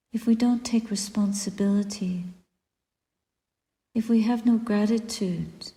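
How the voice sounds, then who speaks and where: A woman guiding a meditation as a Buddhist teacher, speaking softly and deliberately in short phrases with long pauses and breathy endings. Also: medium pitch. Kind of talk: guided meditation, spoken dharma reflection. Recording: echoey room with long reverb.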